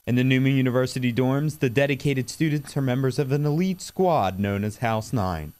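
A man voicing a solemn parody crime-show intro narration, slow and dramatic. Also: mid-range voice, a little mellow, clear, resonant tone, close to an everyday voice.

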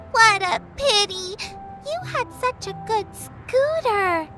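Very high-pitched dramatic little girl. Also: pushed and bright, full character voice. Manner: theatrical, gasping, exclaiming. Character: dramatic, fretful little girl, imaginative friend. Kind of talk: children's cartoon dubbing.

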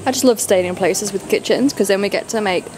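A woman's voice: mid-range voice, fairly quick, low-quality recording. A young woman, chatting wryly to a handheld camera as she travels. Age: young adult. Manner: chatty, wry, thinks aloud. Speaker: travel vlogger. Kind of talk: casual travel vlog chat. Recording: loud music behind the voice.

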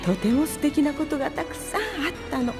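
A woman voicing a gentle, graceful queen and mother in an anime cartoon, soft, refined and polite. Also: high-pitched, fairly quick, music in the background, close to an everyday voice. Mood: nostalgic. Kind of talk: Japanese anime acting.

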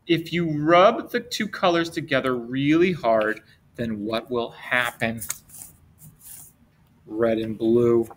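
A middle-aged man tells a picture-book story to small children. His voice swings widely in pitch and he takes his time, stretching words out. The manner is playful and expressive, with simple questions put to the listeners. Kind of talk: read-aloud storytelling for young children. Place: indoors at a desk, webcam with book camera.

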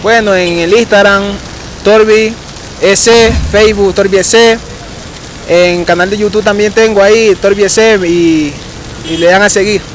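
A young man, a street marimba player, giving relaxed, hesitant answers outdoors in a park. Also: high voice, unhurried, slight background noise. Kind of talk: casual interview answers.

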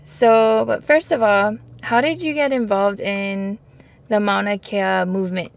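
A woman's voice: medium pitch, fairly even intonation, measured pace, creaky. A woman from Hawaii hosting an interview and asking conversational questions. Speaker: host. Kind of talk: casual interview.

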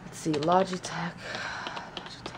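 A woman's voice: on the low side, steady tone, moderate pace, background noise. A young woman vlogging casually from her bedroom, muttering to herself and swearing while she works, recorded on a camera held close. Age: young adult. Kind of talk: casual vlog chat. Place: small bedroom indoors by a window.

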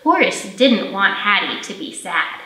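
A woman in her thirties leading a storytime for young children, speaking in simple, expressive phrases with plenty of questions. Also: medium pitch, measured pace. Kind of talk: storytime teaching, singing and picture-book reading for young children. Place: indoor library storytime room. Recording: roomy echo, faint music.

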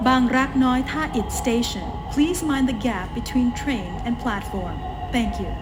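A woman works as a station announcer, reading scripted lines with formal, careful diction. Her voice sits fairly high and she takes her time over each phrase. It is recorded aboard, with noticeable train noise around the announcement. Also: animated. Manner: formal, measured, clearly enunciated. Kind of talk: scripted public transit announcement. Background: train running noise.

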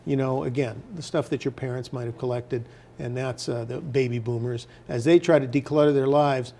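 Older man, mellow gravelly voice. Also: medium pitch, fairly even intonation, fairly quick. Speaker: newspaper editor as interview guest. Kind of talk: casual studio interview. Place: TV news studio, indoors at a desk.

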